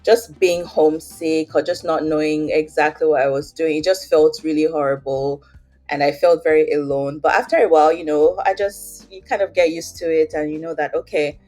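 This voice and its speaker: Nigerian woman, young, low voice, chatty with lots of fillers, faint music behind. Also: fairly even intonation, normal speed, a little mellow. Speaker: guest. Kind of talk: casual interview, personal story.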